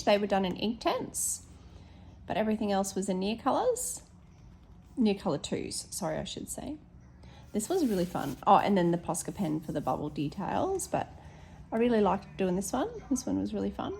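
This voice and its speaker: Australian woman, casual hobby vlogger, a little hoarse, chatty and self-correcting, mid-pitched. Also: darkish tone, a little hiss or hum. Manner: chatty, many ums, corrects herself. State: slightly hoarse, losing her voice. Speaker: teacher showing her colouring pages. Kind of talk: casual flip-through chat.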